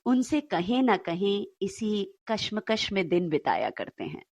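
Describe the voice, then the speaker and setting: A woman's voice: mid-range voice, sing-song, unhurried, no echo. A woman co-hosting a story show, talking in a conversational style.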